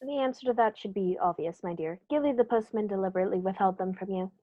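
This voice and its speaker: Radio play lead: female actor as authoritative Victorian gentleman sleuth, mid-range voice, incisive formal delivery. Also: medium pitch, fast talker, narrow, tinny audio, lightly acted. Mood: grave, incisive. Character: shrewd, commanding male Victorian detective. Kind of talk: 1940s radio play acting.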